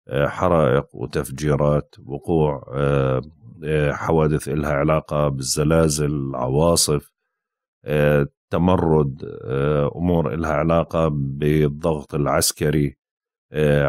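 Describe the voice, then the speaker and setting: A man giving a daily astrology forecast. He sounds tired and unwell, and he talks slowly and haltingly, with frequent drawn-out "uh" pauses. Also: rumbling low, darkish tone, dry recording, studio quality. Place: indoors, with possible street sounds outside.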